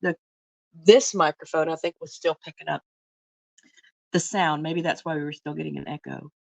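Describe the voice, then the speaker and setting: A woman with a lowish voice hosts a live cooking stream from her kitchen, rambling casually to her viewers. She talks into a phone mic, and the sound is dry and close. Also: steady tone, normal speed, creaky, no room sound. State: voice lower than usual from a cough. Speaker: cooking livestream host. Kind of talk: casual livestream chat while baking. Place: home kitchen.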